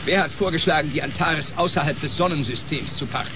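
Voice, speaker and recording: An actor playing a cynical, combative scientist in a sci-fi radio drama, sarcastic and dismissive. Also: male voice, fairly high pitch, fast talker, noisy background, lightly acted. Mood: sarcastic, indignant. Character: cynical, combative male scientist, sneering and callous.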